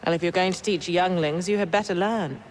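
An actress playing a wry, patient female Jedi in a video game, composed and gently teasing. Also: lowish voice, fairly quick, slight background noise, natural voice, low-quality recording. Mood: calm, reassuring. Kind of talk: cinematic video game acting.

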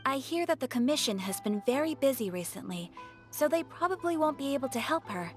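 A woman's voice: high voice, expressive, a little fast, soft background music. A woman voicing a polite, businesslike young guild receptionist in a video game, composed and formal. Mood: helpful. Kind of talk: scripted video game dialogue.

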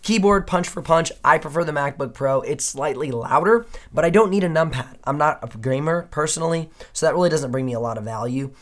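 A man in his thirties with a hoarse, worn voice, giving an upbeat, chatty laptop comparison review at a studio desk. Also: mid-range voice. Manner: upbeat, chatty, punctuates points with "okay?". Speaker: tech reviewer. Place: indoor studio desk, dim room.

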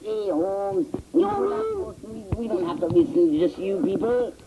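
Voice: medium pitch, wildly swooping pitch, unhurried, creaky, full character voice. A performer voicing a rowdy little toy troublemaker in an amateur audio drama. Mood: rowdy, protesting. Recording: very noisy lo-fi home recording.

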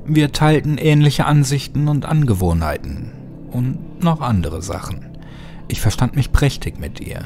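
A man reading a scripted first-person horror story dramatically, with brooding, deliberate phrasing. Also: medium pitch, darkish tone. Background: music.

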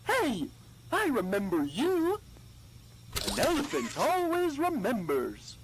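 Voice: mid-range voice, huge pitch swings, takes it slowly, noisy background. An actor voicing a friendly elephant toy with a long, menacing memory, in cartoon toy voicelines. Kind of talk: children's toy character voicelines.

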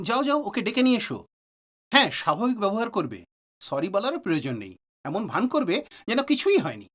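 Male doctor, middle-aged, knowing and reassuring played by an actor; lively intonation, audio drama. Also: sits high, fast, heavily acted. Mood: assured, practical. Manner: explanatory, authoritative, mixes in English words.

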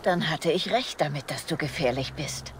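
A woman's voice: deep voice, moderate pace, noisy background, natural voice. An actress playing a cynical, manipulative female spymaster, a proud betrayer who speaks with taunting self-assurance in a dubbed video game. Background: loud music.

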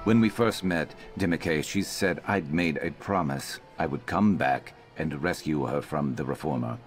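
An actor playing a grim, determined former slave companion in a video game, measured and earnest with dry humour. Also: male voice, rumbling low, soft background music. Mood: grave, resolute.

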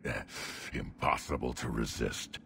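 Game role: huge proud reptilian soldier, slow, heavy gravel, relishes a fight, noisy ambience behind. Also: male voice, fairly bright tone, full character voice. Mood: eager, gruff. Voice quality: very deep gravelly growl. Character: hulking young krogan alien warrior, brash, battle-hungry company leader. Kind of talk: video game character acting.

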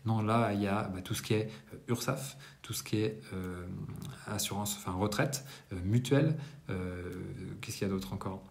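A man's voice: on the low side, normal speed, a little mellow. Hesitant man chatting to camera. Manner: conversational, many hesitations and self-corrections. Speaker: business owner giving a monthly debrief. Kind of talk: casual monthly business vlog to camera. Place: indoors, bright apartment room.

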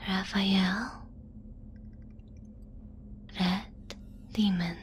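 Slow whispering ASMR woman. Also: mid-range voice, steady tone, a little hiss or hum. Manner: whispering, soft and breathy delivery. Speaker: ASMR channel creator thanking listeners and patrons.

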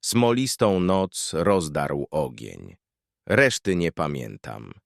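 A man reading a scripted dark horror tale as narrator, in clipped, deliberate, poetic phrases. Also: rumbling low, very animated, gravelly.

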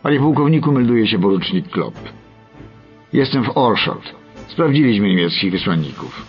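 An actor playing a brisk intelligence lieutenant in a radio docudrama, clipped and authoritative like a military officer. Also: male voice, lowish voice, sing-song. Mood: brisk, official. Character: brisk Dutch intelligence lieutenant giving orders and reports. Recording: dull sound over music bed.

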